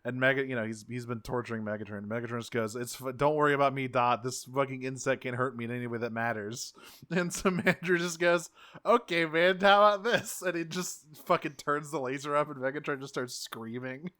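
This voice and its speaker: A man's voice: medium pitch, a little fast, fairly bright tone. A man co-hosting a podcast, casually recapping a cartoon episode with enthusiasm and frequent swearing. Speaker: podcast co-host.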